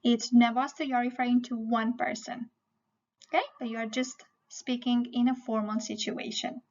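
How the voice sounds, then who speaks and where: Lady language teacher, really high voice, explains step by step, slightly muffled audio. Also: flat delivery, moderate pace, darkish tone. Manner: didactic, checks in often with okay and right. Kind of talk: explanatory language lesson to camera.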